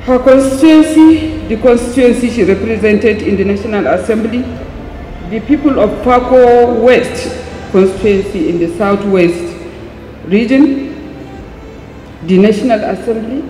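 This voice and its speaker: A woman delivers a formal memorial address in a solemn, deliberate manner. Her voice is soft-edged and mellow. It carries through a big echoey hall with long reverb, and music plays behind her. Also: a little high. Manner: solemn, measured, deliberate phrasing. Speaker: parliamentarian giving a tribute. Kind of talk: formal eulogy read from a script. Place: large indoor atrium hall at a memorial ceremony.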